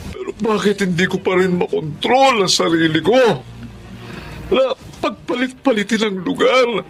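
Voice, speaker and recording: Middle-aged Filipino husband, high voice, swooping intonation, irritable, restless, insistent, radio drama. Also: male voice, creaky, a bit bright, full character voice. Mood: anguished. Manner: agitated, insistent, repeats himself.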